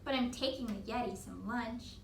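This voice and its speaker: Woman as an eager, high-pitched young boy adventurer. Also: normal speed, gravelly, echoey room, distant mic, a little hiss or hum. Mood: apologetic. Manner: excited whoops and polite apologies.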